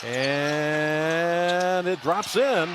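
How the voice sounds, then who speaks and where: A male play-by-play announcer with a fairly high voice works a live basketball radio broadcast from an arena. Loud background noise surrounds him as he emphatically calls the score and the action. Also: drawn-out words. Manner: emphatic, calling out scores and plays. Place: large indoor basketball arena. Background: loud arena noise, bouncing basketball.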